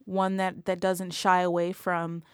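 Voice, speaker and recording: A woman hosts a casual podcast conversation with eager enthusiasm, often stopping and restarting her thoughts. Her voice sits a little low for a woman. The recording is dry and close. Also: completely flat delivery, measured pace, clear, resonant tone, no echo. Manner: chatty, enthusiastic, many restarts. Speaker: podcast host. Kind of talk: casual interview chat.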